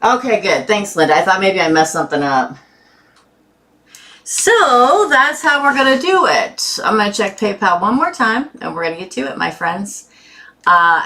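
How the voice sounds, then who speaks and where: A woman's voice: lowish voice, sharp tone. A woman hosting a tarot live stream with a sore throat, chatting casually and greeting viewers by name.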